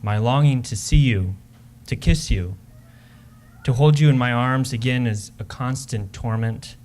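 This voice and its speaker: A man's voice: mid-range voice, measured pace, a little mellow, lightly acted. A man playing a homesick Civil War soldier, reading a formal, earnest letter to his wife on stage. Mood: longing, earnest. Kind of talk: dramatic reading of a wartime letter. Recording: live stage recording with background noise.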